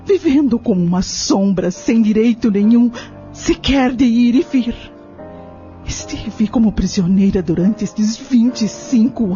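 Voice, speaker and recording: A woman's voice: fairly high pitch, warm tone, lightly acted. A woman playing a long-suffering middle-aged wife resolved to break free, speaking formally and solemnly in an audio novel. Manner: solemn, formal, literary phrasing. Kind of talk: dramatic audio novel acting. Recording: dull lo-fi audio with music behind. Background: music.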